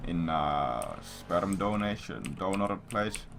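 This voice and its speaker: A man's voice: low-pitched, big pitch swings, takes it slowly, gravelly. A man casually telling a rambling story to stream viewers in crude language full of swearing. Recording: noisy stream audio, keyboard sounds.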